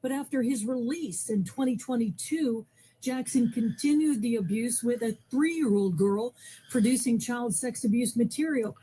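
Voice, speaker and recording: A woman reading local radio news in an even, formal delivery. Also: a little high, moderate pace, husky, low-quality recording.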